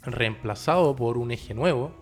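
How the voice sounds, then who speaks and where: A man with a Chilean accent hosting a conversational political interview, with formal, deliberate phrasing. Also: medium pitch, expressive. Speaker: interview host. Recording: faint music in the background.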